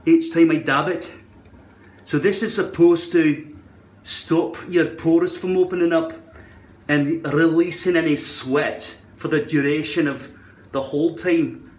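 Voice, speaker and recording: A man talks through a casual tutorial at a very unhurried pace. His voice sits a little high, and he puts on an unsteady Scottish accent. He rambles and repeats himself, in a noticeably roomy, echoing space. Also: fairly even intonation. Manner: trying on a shaky put-on Scottish accent; rambling, repeats words, sweary. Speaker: vlogger demonstrating a hairpiece adhesive product. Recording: roomy, echoing indoor sound.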